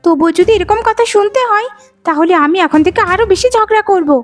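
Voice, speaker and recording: Cartoon role: spiteful, lazy young daughter-in-law, very high whining voice, faint music behind. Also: female voice, fairly quick. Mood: indignant. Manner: whining, sharp-tongued complaining. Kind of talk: Bengali cartoon voice acting.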